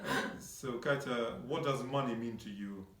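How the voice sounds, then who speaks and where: A man hosts a talk show in a mid-pitched voice, stumbling and repeating words as he asks questions. He sounds set back from the microphone in an echoey room. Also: a crowd in the background. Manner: halting, with many repeated words. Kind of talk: casual interview questions. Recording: echoey room, heard from a distance.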